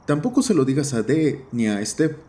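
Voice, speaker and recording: A man's voice: medium pitch, big pitch swings, normal speed, mellow, voice pushed up, natural voice. A man voicing a haunted, defiant thirteen-year-old boy in a horror audio story, insistent and emphatic. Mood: determined. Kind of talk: dramatized horror audio fiction.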